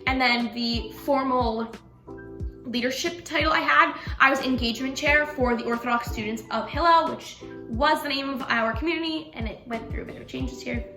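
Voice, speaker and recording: A woman's voice: high voice, moderate pace, bright, forceful tone. A young woman whose first language is Russian, chatting casually with many fillers about leading a student community. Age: young adult. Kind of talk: casual chat. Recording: echoey room with music.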